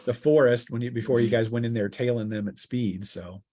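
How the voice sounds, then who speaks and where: A man running a tabletop fantasy game as game master, improvising narration and often pausing mid-sentence. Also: mid-range voice, fairly even intonation, warm tone, dry recording.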